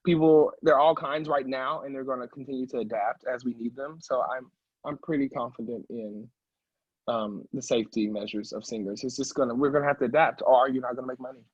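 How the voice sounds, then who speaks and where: A man's voice: medium pitch, brisk, slightly rough, no echo, highs cut off. A man who is an opera singer talking casually as an interview guest, emphatic and chatty, often checking in with the listener. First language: English.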